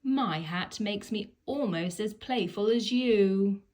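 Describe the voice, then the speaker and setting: A woman's voice: medium pitch, takes it slowly, a little mellow. Woman as little boy pig, storybook hero, vain, boastful, determined. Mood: proud.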